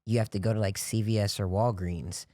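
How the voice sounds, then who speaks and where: A man's voice: lowish voice, steady tone, a little fast, dark tone, no echo, studio quality. A young man in casual chat, telling long stories in a rambling way with many restarts and "you know, like". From grew up on US military bases in Japan. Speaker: podcast guest.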